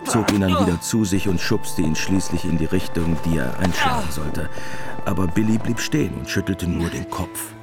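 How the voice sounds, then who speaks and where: A man's voice: sits low, normal speed, over music. A man giving a scripted literary narration as the narrator of a radio drama.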